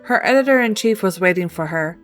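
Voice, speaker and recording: A woman narrates a scripted game story in a mid-pitched voice. She works as a storyteller, and music plays behind her. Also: animated, brisk. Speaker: storyteller narrating a fan-written game quest.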